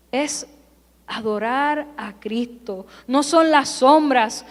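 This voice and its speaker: A woman who grew up in California, preaching a Sunday sermon in a church with emphatic, repeated parallel phrases. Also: sits high. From California, United States. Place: church sanctuary. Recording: long hall reverb.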